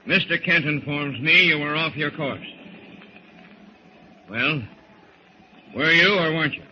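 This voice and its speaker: Stern, blustery ship's captain. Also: male voice, fairly high pitch, unhurried, pushed and bright. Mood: brisk, commanding. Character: stern, stubborn sailing ship captain, male. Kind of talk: 1940s radio acting. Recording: muffled, noisy old radio recording.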